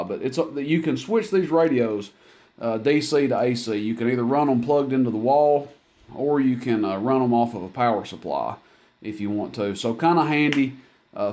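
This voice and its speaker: A man's voice: medium pitch, darkish tone. A man giving a casual hands-on equipment demonstration in a plainspoken, folksy, matter-of-fact way. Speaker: CB radio seller demonstrating equipment.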